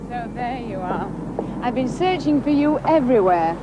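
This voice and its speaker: An actress playing a brisk, patronising minder in a television drama, humouring and dismissive in a nannyish way. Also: female voice, a little high, lively intonation, muffled recording, lightly acted. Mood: brisk scolding. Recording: noisy outdoor film sound.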